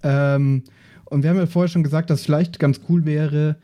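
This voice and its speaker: A man in casual podcast chat, explaining his thoughts in a halting, discursive way. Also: a little high, steady tone, dark tone. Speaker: podcast player.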